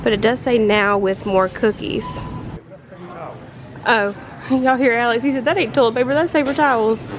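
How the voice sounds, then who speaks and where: A woman, chatting excitedly to viewers in a casual haul vlog. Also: on the high side, moderate pace, pauses often, slight background noise. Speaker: vlogger. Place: home kitchen and store aisles.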